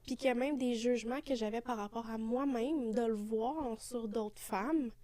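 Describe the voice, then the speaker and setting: A woman's voice: on the high side, moderate pace, darkish tone, no room sound. A young Québécois woman chatting as podcast co-host, often dropping in English expressions and hesitant fillers. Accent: Québécois French. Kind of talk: casual chat.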